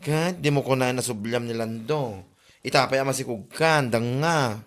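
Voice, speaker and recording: A man playing an excitable, grumbling village husband in an Ilocano-accented comedy audio drama. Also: mid-range voice, normal speed, close to an everyday voice. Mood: exasperated banter.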